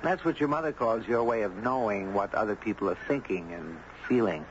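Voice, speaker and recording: A man playing a gentle, earnest character in a 1970s radio drama, speaking in a measured, literate way. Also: lowish voice, slight background noise, natural voice. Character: gentle, earnest man drawn into a tragic tale. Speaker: radio drama actor and narrator. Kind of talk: 1970s radio drama acting, first-person narration. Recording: muffled 1970s radio broadcast, music behind.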